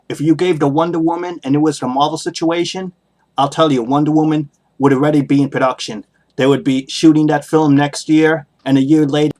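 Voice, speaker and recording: A man hosting a solo podcast, giving casual opinion commentary in an emphatic, critical way and repeating his points for emphasis. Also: on the high side. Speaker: podcast host.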